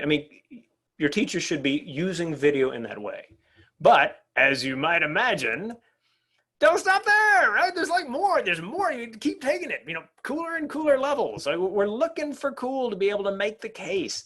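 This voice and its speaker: Brief: middle-aged man, workshop presenter, bright high voice with big swings in pitch, chatty and lively. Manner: animated and conversational, asks the audience "right?". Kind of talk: energetic professional development talk.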